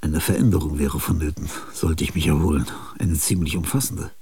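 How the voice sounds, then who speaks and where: Eine sehr tiefe, heisere Männerstimme als müde, grüblerische Innenstimme eines alternden Künstlers. Sie klingt rau und knarzend, gemessen und nach innen gekehrt. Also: male voice, very deep voice, fairly quick, mellow, husky, heavily acted. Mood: brooding. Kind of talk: radio drama acting.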